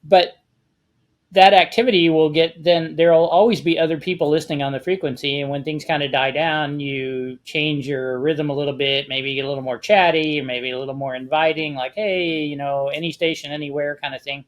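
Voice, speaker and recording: A man's voice: a little high, fairly even intonation, fairly quick. A man talking casually as a panelist, explaining things in a conversational way with practical advice. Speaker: panelist on a ham radio discussion show.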